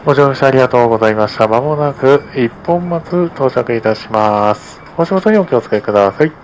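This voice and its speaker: A man's voice: medium pitch, animated, a little fast, poor audio. A man working as a bus driver, giving polite, formulaic announcements to passengers over the onboard speakers. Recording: faint vehicle noise behind.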